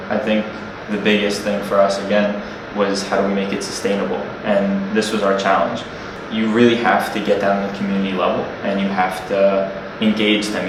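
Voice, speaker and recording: A young man, co-founder of a student nonprofit, answering interview questions in an earnest, reflective way. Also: sits low. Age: young adult. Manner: earnest, reflective, speaks in long building sentences. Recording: echoey room, distant mic, noisy.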